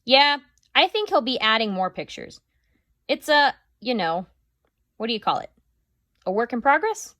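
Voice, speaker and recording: A woman teacher voicing a brash, boastful male mall owner during a read-aloud, loud and salesy. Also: a little high, sing-song, normal speed, pauses often, no room sound, pitched above the performer's own voice. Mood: smug.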